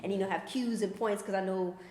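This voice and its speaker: A woman's voice: mid-range voice, steady tone, a little fast, warm tone. A woman, an actor, talking casually about her process, with lots of likes and restarts. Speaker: actor guest. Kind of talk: casual chat. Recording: echoey room with long reverb, faint noise.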